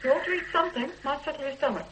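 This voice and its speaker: A woman's voice: high-pitched, very fast, fairly bright tone, low-quality recording. An actress playing a fond mother who teases and coaxes her hungover grown son, in a radio drama. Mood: teasing. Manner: teasing and coaxing. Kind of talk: 1970s radio drama acting. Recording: old radio recording with faint hiss.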